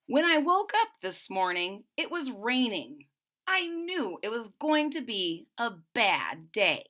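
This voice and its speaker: A woman reads a picture book aloud for young listeners, stopping to chat about the pages. Her voice sits a little high and sounds bright and clear. She swings her pitch widely, with plenty of expression. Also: takes it slowly. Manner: expressive, chatty asides between pages. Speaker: storybook reader.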